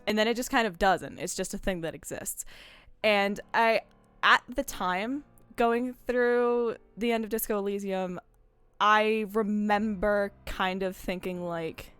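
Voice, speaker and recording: Woman chatting in a rambling way, clear voice. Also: medium pitch, measured pace, fairly bright tone, dry recording, soft background music. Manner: rambling, digressive, full of self-interruptions. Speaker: host of a game discussion stream. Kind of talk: casual chat about video games.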